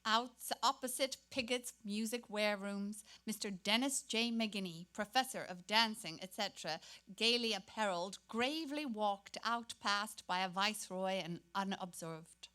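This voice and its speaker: A woman's voice: mid-range voice, normal speed, bright, forceful tone. A woman performing literary narration as an actor in a dramatic reading.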